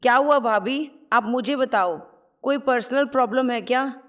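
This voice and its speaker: A woman voicing a curious, teasing young sister-in-law who pries quickly and playfully. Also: high-pitched, a bit bright, voice pushed up.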